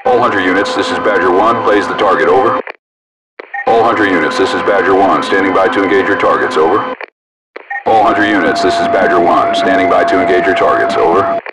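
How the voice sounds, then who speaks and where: A man voicing a brisk, businesslike armored vehicle crewman in a video game, speaking clipped, authoritative procedural chatter through a radio filter. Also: low-pitched, fairly even intonation. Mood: businesslike, focused. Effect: military radio filter. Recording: distant radio sound under loud vehicle noise.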